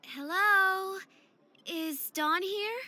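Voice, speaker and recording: A woman voicing a gentle, polite young rival skater in a visual novel game, with a hesitant, soft-mannered delivery. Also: extremely high pitch, extremely slow, full character voice. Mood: tentative.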